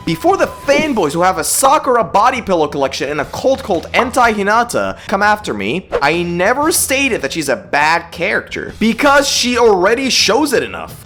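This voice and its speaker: Sarcastic young YouTuber with wildly swinging pitch. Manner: sarcastic, roasting and mocking; wild swings between loud outbursts and low mutters. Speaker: YouTube host. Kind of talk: scripted comedic commentary.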